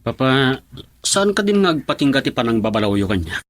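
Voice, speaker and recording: A man's voice: medium pitch, big pitch swings, fairly quick, lightly acted. A man playing an earnest, troubled young husband in a radio drama. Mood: pleading.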